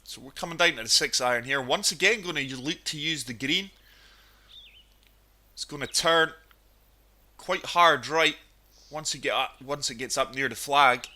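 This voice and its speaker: A man's voice: medium pitch, bright, forceful tone. A man with a cold, chatting enthusiastically through golf gameplay commentary. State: has a cold, sniffly. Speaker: gaming channel host.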